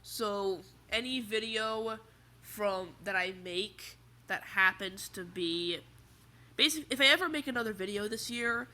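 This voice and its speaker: A teenage boy with a stuffed nose and slightly raspy voice, rambling in casual chat as a toy collector video host. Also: high-pitched, a little slow, bright, forceful tone. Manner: rambling, restarts often, chatty. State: stuffy nose, under the weather.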